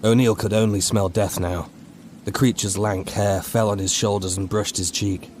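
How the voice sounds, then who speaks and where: A man reading a scripted horror audiobook as narrator, in a measured, dramatic storytelling manner. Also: on the low side, fairly even intonation, darkish tone, a little hiss or hum.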